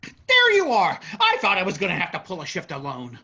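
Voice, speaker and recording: Lady doing a pushy fed up coworker in a play reading, super swoopy and bright, raspy, dull audio. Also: on the low side, wildly swooping pitch, fairly quick, exaggerated voice. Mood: exasperated. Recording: dull lo-fi sound.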